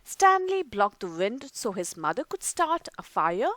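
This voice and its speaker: A woman reading a children's picture book aloud, slowly and expressively. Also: a little high, sing-song, a bit bright, no echo. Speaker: storyteller.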